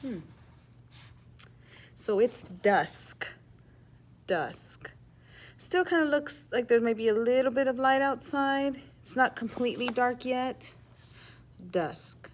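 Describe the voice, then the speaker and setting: A woman with a fairly high voice reads a picture book as a teacher would for children. Her delivery is expressive and emphatic, and she steps out of the story to explain words and put questions to her listeners. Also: slow pace, pauses often, slight background noise. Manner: animated, emphatic reading with hushed asides. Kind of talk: expressive children's read-aloud with explanatory asides.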